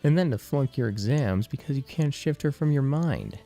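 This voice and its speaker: A man's voice: medium pitch, lively intonation, moderate pace, warm tone, faint music behind, pitched above the performer's own voice, natural voice. A man voicing a cocky young male student who teases his friend with mocking, jocular banter.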